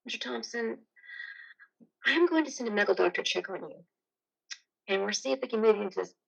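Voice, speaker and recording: Woman as a creaky-voiced, firm psychiatrist. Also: a little high, exaggerated voice, rough recording. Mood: professional, probing. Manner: measured, professional. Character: firm, probing female psychiatrist. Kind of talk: live amateur play reading.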